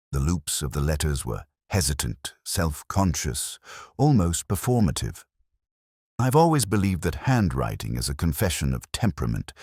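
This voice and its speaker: A man with an extremely low voice narrates a first-person detective tale. His delivery is measured and literary, with plenty of rise and fall in pitch. The sound is dry, with almost no room around it. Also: husky, no echo.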